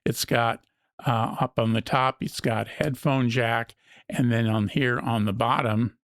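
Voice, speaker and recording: Male product reviewer, mid-pitched, methodical explainer talking through features off the cuff, recorded dry. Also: steady tone, a little slow, fairly bright tone, no room sound. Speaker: gadget review host. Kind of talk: unscripted product walkthrough.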